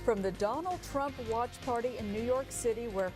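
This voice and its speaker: A woman's voice: on the high side, moderate pace, music in the background. A woman co-anchoring a TV newscast, reading scripted news narration. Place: TV studio.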